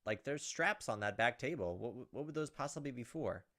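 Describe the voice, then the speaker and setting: A man with a mid-pitched voice hosts a let's play of a visual novel at a quick clip. He reads the text out as dramatic narration, then slips into casual asides of his own. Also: expressive, fairly bright tone. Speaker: gaming video host. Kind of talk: let's play, reading a visual novel aloud with off-the-cuff reactions.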